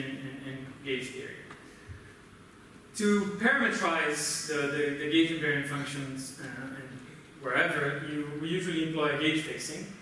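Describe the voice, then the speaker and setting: A man with a Brazilian accent, a native Portuguese speaker, gives a technical conference lecture in a halting, explanatory way. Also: fairly high pitch, bright, forceful tone. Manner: halting, explanatory, often checks with "right?". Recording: roomy, noisy conference hall, distant mic.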